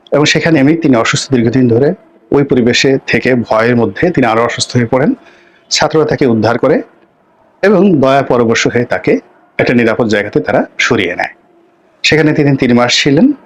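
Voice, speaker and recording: A middle-aged man with a Bangladeshi accent gives unscripted political commentary and personal anecdotes, phrasing things deliberately with drawn-out pauses. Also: mid-range voice. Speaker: political commentator, former parliamentarian.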